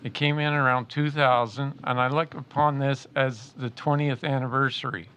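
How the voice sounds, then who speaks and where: A man's voice: mid-range voice, steady tone, moderate pace, a bit bright, smooth. A man in his sixties, introducing himself as a local election candidate in a plain, conversational way with some hesitations. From Canada. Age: 60s. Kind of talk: unscripted self-introduction.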